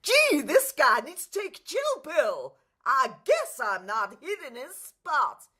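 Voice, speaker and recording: A woman acts out a showy male court jester in a radio musical. The voice is high and swoops theatrically, drawing words out in a sing-song, pleading way. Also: big pitch swings, slow pace, sharp tone, exaggerated voice. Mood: showy cheer. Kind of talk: stage musical radio play acting.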